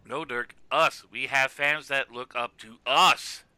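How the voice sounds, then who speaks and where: A man's voice: medium pitch, slow, bright, forceful tone, clear, resonant tone, no room sound. A man playing an exasperated, mocking wrestler in a scripted web cartoon, scolding with emphatic sarcasm. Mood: earnest, insistent. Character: exasperated, mocking male wrestler, the self-styled straight man. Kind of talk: scripted comic argument in web animation.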